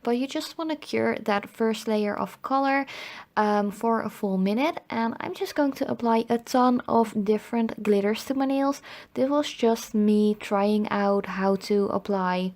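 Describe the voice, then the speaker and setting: Slightly hoarse young woman. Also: a little high, a little slow, a little mellow. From Netherlands. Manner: chatty, lots of fillers like "um" and "like". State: slightly hoarse, recovering from illness. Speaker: nail art video host. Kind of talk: casual voice-over tutorial.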